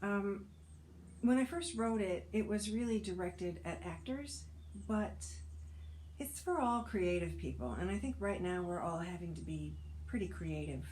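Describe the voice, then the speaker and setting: A woman reading a personal essay aloud as a narrator, with a wry, measured storytelling delivery. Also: medium pitch, a little mellow, a little hiss or hum, poor audio. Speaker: audiobook narrator, actor and author reading an essay.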